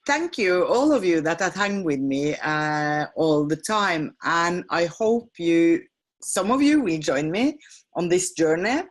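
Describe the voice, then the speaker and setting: Non-native english lady hosting a webinar, slowish lower voice, lots of uh and um, keeps correcting herself. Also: animated. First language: not English. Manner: halting, with many fillers and self-corrections. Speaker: feng shui and decluttering teacher hosting a webinar. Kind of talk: live webinar sales pitch and Q&A. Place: home on a small island.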